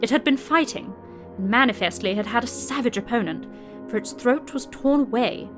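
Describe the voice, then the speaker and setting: A woman playing a composed newspaper correspondent in an audio drama, narrating her dispatch in a measured, articulate way. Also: on the high side, over music, lightly acted. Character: observant, composed newspaper correspondent filing reports.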